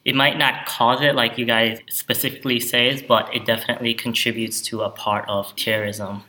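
Young American guest, hesitant and filler-heavy, flat, tense, in a roomy space. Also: male voice, medium pitch, a little fast. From United States. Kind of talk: casual panel discussion. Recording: echoey room.

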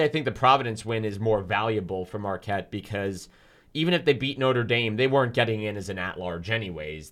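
A young man talking loudly and energetically as a student sports reporter on a casual podcast. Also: lowish voice. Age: young adult. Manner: loud, energetic talker.

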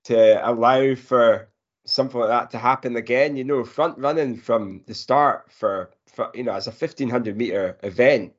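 A man's voice: medium pitch, moderate pace, highs cut off. A man co-hosting a podcast in casual sports chat. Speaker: podcast co-host.

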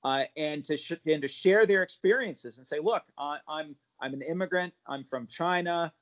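A man speaking as a parent activist on a panel, halting, with many repeated words and restarts. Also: on the high side, fairly bright tone. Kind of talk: panel discussion remarks. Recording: muffled lo-fi audio.

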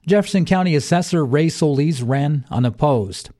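A man's voice: fairly high pitch, animated, moderate pace, warm tone. A man reading a scripted local news and sports bulletin as the newsreader. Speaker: local radio news reader.

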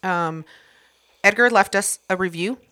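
Bright-voiced teasing woman co-host. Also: mid-range voice, unhurried. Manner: teasing, chatty. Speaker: podcast co-host. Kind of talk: casual podcast banter.

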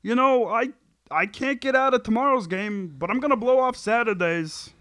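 A man reads the part of a petulant sitcom husband who justifies himself. The voice is high for a man and closely recorded, with a dry sound and an amateur table-read delivery. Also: normal speed, a bit bright, no echo. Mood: petulant, flippant.

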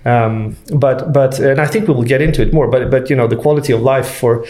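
A man's voice: medium pitch, dark tone. A man in his forties who grew up in Sweden, speaking as a guest in an easygoing talk, with lots of fillers and restarts. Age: 40s. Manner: halting, many fillers and restarts. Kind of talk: casual conversation.

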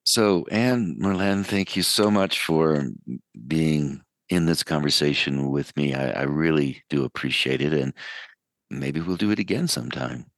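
A middle-aged man hosting a radio talk show, closing an interview and then reflecting in an unhurried, storytelling way. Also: rumbling low, no echo. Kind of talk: interview wrap-up and reflective monologue.

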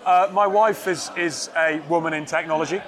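A man's voice: on the high side, fairly even intonation, moderate pace, a crowd in the background. A man, interviewing guests at a tech event in a chatty, personal way. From North East England. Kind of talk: conversational interview.

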